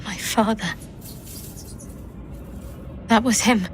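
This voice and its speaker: An actress playing a guarded, wounded young woman cleric in a video game, speaking haltingly and with feeling. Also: medium pitch, a little hiss or hum. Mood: shocked. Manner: halting, emotional. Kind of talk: dramatic video game acting.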